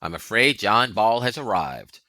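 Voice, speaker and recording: A man voicing a brisk, wary, practical widow who keeps an inn, in an audiobook. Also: on the low side, very animated, pushed and bright, no room sound, full character voice. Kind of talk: audiobook character voice.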